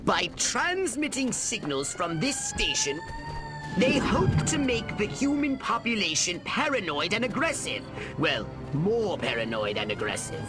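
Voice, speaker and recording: Pompous, high-pitched alien commander. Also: male voice, wildly swooping pitch, a little slow, full character voice. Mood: scheming disdain. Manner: pompous, theatrical, grandiose delivery. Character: scheming, pompous male alien commander, theatrical and megalomaniacal. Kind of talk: video game cutscene acting. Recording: loud music behind the voice.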